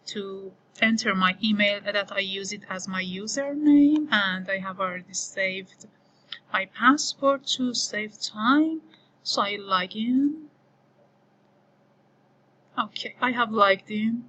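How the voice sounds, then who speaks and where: A woman whose first language is Farsi, slowly walking through a software screen as an online course instructor, thinking aloud with many pauses and fillers. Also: medium pitch, poor audio. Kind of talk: screen-recorded tutorial walkthrough.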